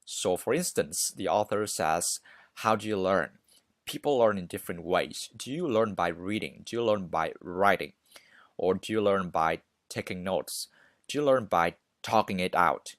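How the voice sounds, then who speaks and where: A man's voice: low voice, expressive, a little slow, fairly bright tone, no room sound, clean studio sound. A man hosting a podcast, giving informal, conversational advice straight to listeners with emphatic repetition. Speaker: podcast host. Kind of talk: casual advice monologue to listeners.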